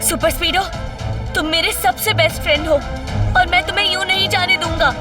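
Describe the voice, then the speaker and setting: A woman's voice: very high-pitched, steady tone, moderate pace. A woman voicing a brave, loyal young boy hero in a kids' cartoon, with a childlike, earnest, emphatic delivery. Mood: worried, determined. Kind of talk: Hindi kids' cartoon dubbing. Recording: distant voice under loud music.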